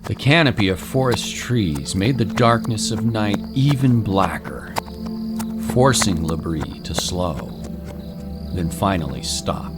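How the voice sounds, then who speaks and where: A man's voice: lowish voice, lively intonation, takes it slowly. A man giving dramatic scripted narration of a story. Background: music.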